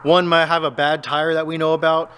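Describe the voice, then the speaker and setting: A man's voice: on the high side, level tone, brisk, pushed and bright, slight background noise. A man in his thirties from Texas, casually talking to his phone camera in a big open metal barn, explaining things in an easygoing, rambling way. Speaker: farmer vlogger. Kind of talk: casual vlog talk to camera. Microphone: handheld phone at arm's length.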